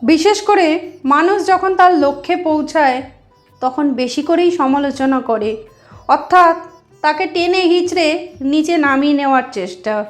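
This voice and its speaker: A woman's voice: high voice, a little slow, soft background music. A woman narrating a moral story as a channel host, explaining things directly to her listeners.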